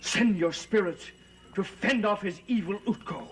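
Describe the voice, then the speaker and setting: A man's voice: high-pitched, measured pace, slight background noise. A man playing a solemn, commanding mystic chanting a protective invocation in a vintage gothic TV soap. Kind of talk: 1960s gothic soap opera acting. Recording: vintage TV soundtrack with loud music.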